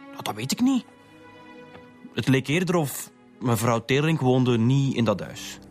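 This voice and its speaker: A man's voice: medium pitch, animated, measured pace, darkish tone, faint music behind. An actor playing a nervous, evasive young Flemish man under questioning in a radio drama, hesitant and defensive. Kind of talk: Dutch-language radio drama acting.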